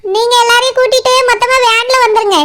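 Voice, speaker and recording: A practical young village wife from a cartoon, voiced by a woman, extremely high and sharp in tone. She states her opinions matter-of-factly in a Kanyakumari Tamil accent. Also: fairly even intonation, fast. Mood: practical, objecting. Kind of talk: village cartoon drama acting.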